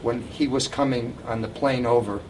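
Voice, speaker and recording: A man's voice: mid-range voice, fairly even intonation, moderate pace, recorded from a distance, noisy background. An older man telling informal anecdotes to a live audience, recorded at an event. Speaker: guest storyteller at a reunion event.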